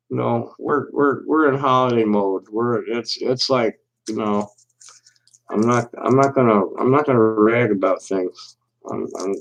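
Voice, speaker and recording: A man hosts a casual solo livestream. He reads aloud with slow, deliberate pauses, and when he chats his talk is halting and full of restarts. His voice sits at an ordinary middle pitch. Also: low-quality recording. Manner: halting and rambling, many restarts. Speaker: livestream host.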